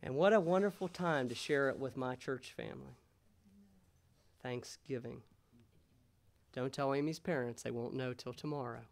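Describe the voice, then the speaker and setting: An American man in his thirties preaching a sermon as a pastor in a measured, pausing way. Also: medium pitch, creaky. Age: 30s. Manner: measured, pausing, rhetorical questions. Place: church sanctuary, indoors. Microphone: gooseneck pulpit microphone.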